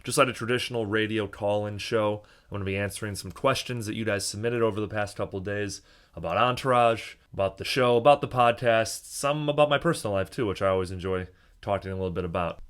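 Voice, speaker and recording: A man's voice: fairly low pitch, fast, a bit bright, clear, resonant tone. A man hosting his podcast alone, talking in a chatty, jokey way with casual swearing, recorded on a small microphone in an echoey hotel room. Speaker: podcast host. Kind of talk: casual solo podcast monologue.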